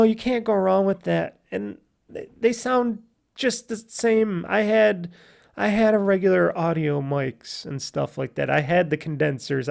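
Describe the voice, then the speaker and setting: A man with a raspy, nasal voice hosting a casual solo podcast on a USB microphone, rambling and correcting himself as he goes. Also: high-pitched, darkish tone. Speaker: podcast host.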